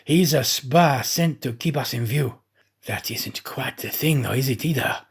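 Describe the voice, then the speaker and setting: A man's voice: mid-range voice, unhurried, vocal fry, husky, exaggerated voice. A man voicing a loyal, proud servant who muses aloud to himself in an audiobook story. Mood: smug suspicion. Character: loyal male servant, shrewdly proud and indignant, musing aloud.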